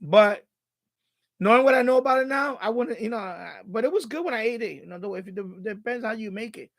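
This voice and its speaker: High-pitched New man. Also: lively intonation, quick pace, vocal fry, dry recording. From Bronx, New York City, USA. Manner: conversational, repeats himself, thinks aloud. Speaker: live stream host. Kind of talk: casual rambling live-stream chat.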